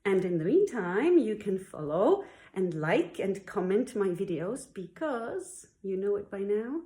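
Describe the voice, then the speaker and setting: A woman's voice: mid-range voice, sing-song, measured pace, mellow. A middle-aged woman from Belgium, a non-native English speaker, telling her story in a chatty, encouraging, casual way like a teacher on a vlog. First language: not English. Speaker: English teacher and video presenter. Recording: roomy, echoey indoor sound.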